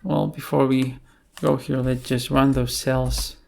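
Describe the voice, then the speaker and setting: A man with a creaky, gravelly voice presents a software tutorial. His delivery is slow and quite flat in pitch. He talks it through off the cuff, in short, halting phrases. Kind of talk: unscripted software tutorial narration.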